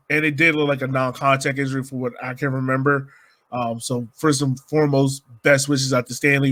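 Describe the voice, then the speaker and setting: Man, forceful bright tone, slightly high voice, casual soccer podcast co-host. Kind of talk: casual soccer analysis chat.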